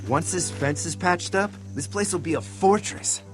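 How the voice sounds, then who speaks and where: An actor playing a good-natured, loyal survivor in a video game, talking in a casual, eager way. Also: male voice, sits high, moderate pace, music in the background, close to an everyday voice. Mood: eager, confiding.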